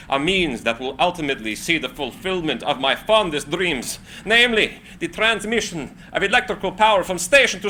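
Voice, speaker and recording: An actor playing an eccentric male inventor who makes a formal, measured speech in a screenplay reading. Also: sits high, sharp tone. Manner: formal, halting, measured oratory. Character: Nikola Tesla, eccentric formal male inventor, earnest orator. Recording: faint background room noise.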